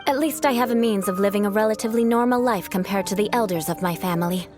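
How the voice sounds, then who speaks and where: A woman voicing a proud young aristocratic knight in a video game, speaking formally and haughtily with measured composure. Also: medium pitch, over music, close to an everyday voice. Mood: composed, resigned. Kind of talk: video game dialogue acting.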